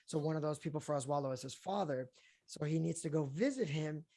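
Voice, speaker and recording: A young adult man, an actor being interviewed, who talks in a relaxed, chatty way with lots of fillers. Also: a little high, fast talker. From Colombia, raised in Florida and Houston. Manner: relaxed and chatty, with many fillers and easy laughs. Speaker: actor guest. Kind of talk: casual interview talk.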